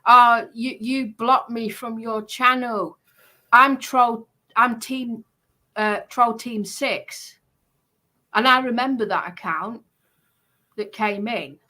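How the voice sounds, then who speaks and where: A woman streamer chatting casually in a halting way, often repeating herself. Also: a little high, pushed and bright. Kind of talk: casual chat.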